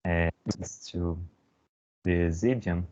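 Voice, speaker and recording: A man whose first language is Portuguese, reading a slide aloud in a slow, halting way on a video call. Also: low voice, darkish tone, dull audio.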